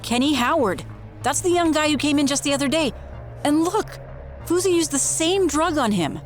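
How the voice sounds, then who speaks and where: An actress playing a concerned, determined small-town clinic worker in naturalistic audio drama style. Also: female voice, high voice, animated, normal speed, soft background music. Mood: horrified.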